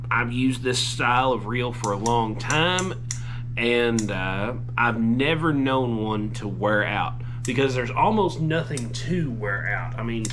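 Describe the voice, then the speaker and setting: A man's voice: mid-range voice, noisy background. A young man with a drawl, talking through a casual fishing vlog in a folksy, rambling way. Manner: folksy, rambling, excitable when fish bite. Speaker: fishing vlogger. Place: outdoors by a pond, later indoors at home.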